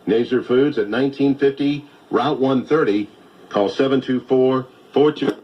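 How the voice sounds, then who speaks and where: A man's voice: medium pitch, normal speed, highs cut off. Male local announcer, mid-range voice, advert read on a noisy AM broadcast played through a radio. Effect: AM broadcast through radio speaker. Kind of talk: reading a local business advert.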